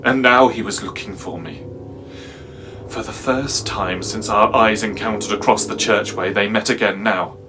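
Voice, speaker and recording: A man playing a haunted, fearful narrator in a dramatic reading of a horror tale, speaking deliberately and theatrically with halting pauses. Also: fairly low pitch, steady tone, over music, lightly acted. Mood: hushed dread. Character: haunted, fearful man recounting a nightmarish pursuit. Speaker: actor, narrator.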